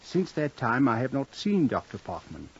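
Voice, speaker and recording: An actor playing an outwardly genial, formal physician with a secret, speaking precisely and pompously in old radio drama style. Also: male voice, medium pitch, sing-song, normal speed, husky, full character voice. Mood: guarded, insistent. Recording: dull, muffled old radio recording.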